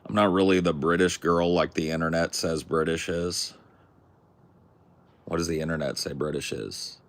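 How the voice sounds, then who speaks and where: A man's voice: deep voice, steady tone, moderate pace. A young man from California with a Californian accent, chatting casually in a rambling, jokey, crude way. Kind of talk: casual chat.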